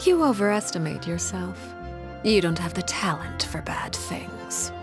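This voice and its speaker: Low-voiced, coldly commanding villainess. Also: female voice, big pitch swings. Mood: cold, calculating. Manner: measured, formal, quietly menacing. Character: cold, commanding, composed female villain, an orphanage matriarch. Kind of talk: dramatic video game voice acting. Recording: music behind the voice.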